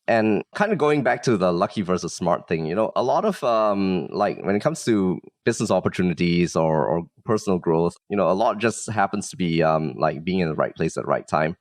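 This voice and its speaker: A man's voice: sits low, animated, a little fast, hardly any pauses, no echo, clean studio sound. A man from Singapore explaining things casually and modestly as a podcast guest, with frequent conversational filler words. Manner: modest, explanatory, with frequent "like" and "you know" hedging. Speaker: startup founder, guest.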